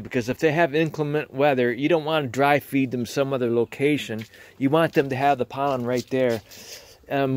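A man's voice: mid-range voice, darkish tone, no echo. A man beekeeper talking casually and plainly while showing his hives, recorded on a handheld phone in a garage. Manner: plainspoken, chatty, explains as he works. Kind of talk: casual hands-on demonstration.

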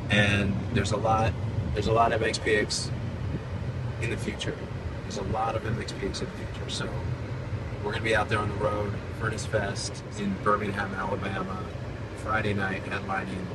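Low-voiced man chatting in a noisy car. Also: moderate pace. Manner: relaxed, upbeat, promotional. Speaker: podcast host and band frontman. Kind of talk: casual chat. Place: in a moving car. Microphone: phone at arm's length. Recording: loud road noise, slightly distant phone mic. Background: road and engine noise.

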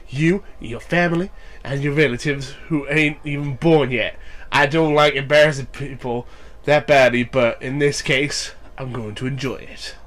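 A young man with a cold, sounding nasal, casually commenting on a wrestling game with jokes and swearing, reading lines aloud. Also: mid-range voice. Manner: joking, swearing, bursts into loud mock cheers. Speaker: gaming YouTuber.

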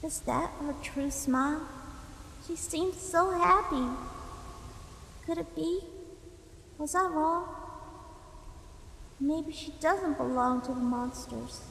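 A woman's voice: sits high, takes it slowly, vocal fry, long pauses, a bit bright, a little hiss or hum, exaggerated voice. A woman voicing an earnest, tender young monster boy in a comic dub, with an eager, childlike manner. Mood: wistful, thoughtful.

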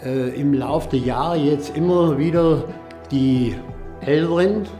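An older man with a fairly high voice for a man speaks in an interview as a long-time club member. The sound is roomy, with music clearly behind him. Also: slow, dark tone. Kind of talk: interview for a club promotional film. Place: plain indoor studio.

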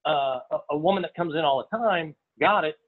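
A middle-aged man, a veteran restaurant chef, answering interview questions in a halting way with many fillers and repeats. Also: fairly high pitch. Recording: tinny phone-like audio.

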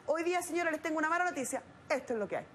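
A woman's voice: high-pitched, lively intonation, rapid-fire, a little hiss or hum. A Chilean woman in her thirties acting as a TV show judge, lecturing bluntly with rhetorical questions in a studio. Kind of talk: stern courtroom lecturing. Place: TV studio set.

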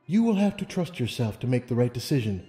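A man's voice: medium pitch, very animated, fast, dark tone, close to an everyday voice. A man voicing a wise, serene female pony ruler in a storybook reading, with solemn, formal speech. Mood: reassuring. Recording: long reverb, music underneath.

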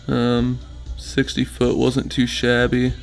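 A man's voice: mid-range voice, completely flat delivery, slow, vocal fry, soft background music. A man giving a rambling, laid-back walkaround of his home workshop, with many fillers and restarts, recorded on a handheld camera indoors. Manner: laid-back, self-deprecating. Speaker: mini bike builder. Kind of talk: casual walkaround vlog.

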